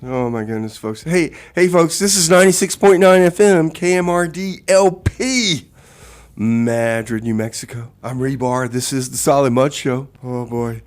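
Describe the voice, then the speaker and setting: A man's voice: medium pitch, moderate pace. A man hosting a radio comedy and music show, chatting to listeners in a folksy, exclamatory, exasperated way. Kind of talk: live comedy radio banter and music announcing.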